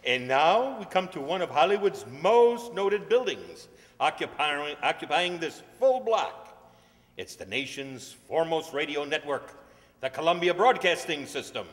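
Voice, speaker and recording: A man's voice: fairly high pitch, very animated, a little slow. An older man playing a pushy, talkative bus tour guide, declaiming his patter in old-time radio comedy style. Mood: showy. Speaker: amateur radio-play actor. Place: large indoor stage hall, stand mic. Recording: reverberant hall, stage microphone.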